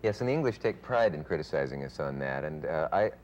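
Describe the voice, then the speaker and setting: A man's voice: extremely low pitch, expressive, fast talker, darkish tone, slight background noise. A man hosting a talk show, asking chatty, prompting questions and murmuring agreement as his guest speaks. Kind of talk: interview questions. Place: television studio. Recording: dull lo-fi 1970s TV broadcast.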